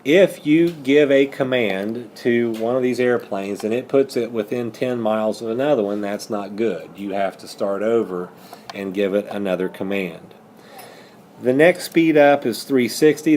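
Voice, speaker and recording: A man's voice: mid-range voice, darkish tone, slight background noise. A man teaching a math lesson step by step, posing questions and then answering them himself. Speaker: high school math teacher.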